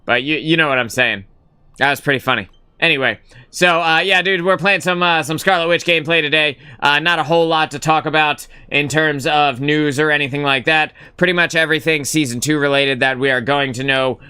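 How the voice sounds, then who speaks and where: Young male stream host, clear bright tone, casual and rambling, swears freely. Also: medium pitch. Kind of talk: casual gaming stream chat.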